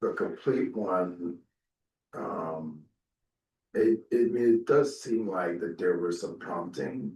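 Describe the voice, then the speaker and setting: A man's voice: deep voice, slow pace, darkish tone. A man on a discussion panel, speaking hesitantly with restarts. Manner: hesitant, many restarts and fillers. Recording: roomy hall, speaker far from mic.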